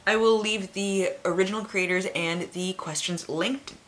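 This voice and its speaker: A woman's voice: mid-range voice, fairly even intonation, moderate pace, fairly bright tone. A woman hosting a casual book vlog, talking informally and emphatically with plenty of swearing. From Canada. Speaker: book reviewer, video host.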